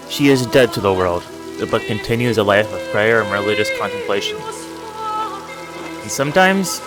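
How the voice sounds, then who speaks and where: A man voicing a solemn, devout priest in a narrative game, speaking in a measured, formal, pious way. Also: mid-range voice, natural voice, rough recording. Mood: grave. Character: solemn devout priest, gentle confessor, male. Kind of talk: reading aloud narrative game dialogue. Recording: music and rain behind the voice.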